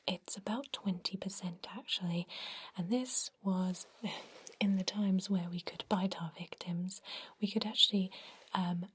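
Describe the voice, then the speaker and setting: A woman whispering gently and breathily in an ASMR roleplay as a kindly vampire doctor, explaining things to a patient without hurry. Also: fairly low pitch, breathy edge.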